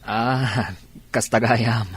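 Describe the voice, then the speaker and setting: An actor with an Ilocano accent playing a bashful, earnest village driver in a radio drama. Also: male voice, mid-range voice, steady tone, drawn-out words, breathy edge, dry recording, heavily acted.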